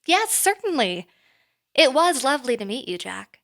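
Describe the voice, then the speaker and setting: A woman playing a poised, reserved boss in an audio drama, speaking formally and in a measured way. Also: sits high, sing-song, fairly bright tone, full character voice. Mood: hesitant, fond.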